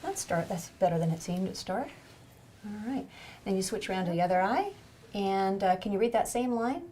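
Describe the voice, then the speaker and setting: A woman's voice: medium pitch, expressive, moderate pace, warm tone, slight background noise. Woman clinician teaching an eye exam. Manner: encouraging with the patient. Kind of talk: instructional demonstration with a patient.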